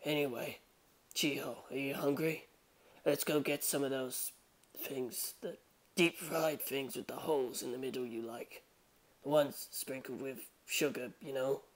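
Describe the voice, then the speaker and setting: A man voicing an aloof, bookish teenage boy in an audiobook, speaking softly in a detached deadpan. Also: medium pitch, unhurried, natural voice, low-quality recording. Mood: flat, casual. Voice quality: hushed, soft.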